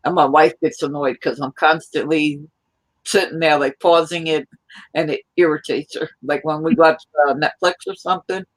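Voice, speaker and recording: A woman from New York chatting casually on a live stream, rambling in a loud, hyper way. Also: low voice, fairly even intonation, normal speed, rough recording. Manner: rambling, hyper, self-described loud talker.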